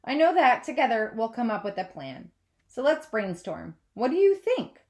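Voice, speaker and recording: A woman's voice: on the high side, very animated, normal speed, darkish tone. A woman voicing an earnest, encouraging male art teacher explaining things to a class, in a storybook read-aloud. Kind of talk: children's novel read-aloud.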